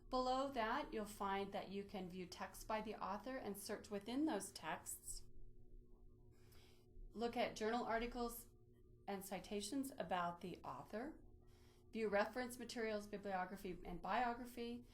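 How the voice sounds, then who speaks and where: A woman presenting an instructional software walkthrough, explaining methodically step by step in a lightly improvised way. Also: mid-range voice, a little slow, a little hiss or hum. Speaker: presenter of a library database tutorial.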